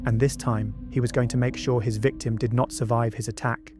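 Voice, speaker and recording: A man's voice: medium pitch, little pitch movement, fairly quick. A man narrating a true-crime documentary from a script, with conversational asides. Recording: music bed behind the voice. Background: background music.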